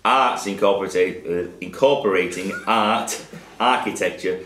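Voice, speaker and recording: A man reading out pub quiz questions to a room of players, in a measured and clear way. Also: low-pitched, a bit bright. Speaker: quizmaster. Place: pub function room with a group at tables. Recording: roomy pub room sound.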